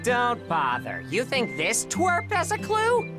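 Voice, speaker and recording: A woman's voice: fairly high pitch, wildly swooping pitch, slow pace, full character voice. A woman voicing a blunt, sarcastic sidekick in a game dub. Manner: sarcastic, dismissive. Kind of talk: video game voice acting. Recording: game audio with music behind.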